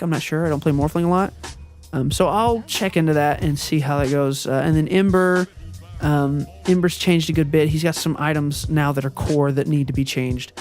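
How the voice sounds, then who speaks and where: A man with a high, youthful-sounding voice talks through channel updates in a rambling, hesitant way, full of ums and self-corrections. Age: adult. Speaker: channel owner and video editor. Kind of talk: casual channel update monologue. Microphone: voiceover recorded before the gameplay footage.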